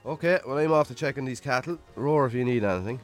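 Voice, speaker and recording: A man's voice: medium pitch, fast talker, soft background music, natural voice. Guy acting as tired lonely irish farmer, plain talking, wry, natural radio play style. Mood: friendly. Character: weary lonely Irish farmer, muttering to his cattle, plain-spoken.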